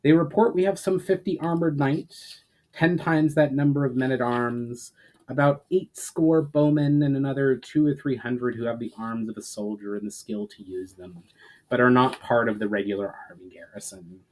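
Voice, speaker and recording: A sober fantasy army commander , voiced by a male storyteller. He gives his report formally and gravely, with big swells and drops in emphasis. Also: mid-range voice, steady tone, normal speed, a little mellow, close to an everyday voice, poor audio.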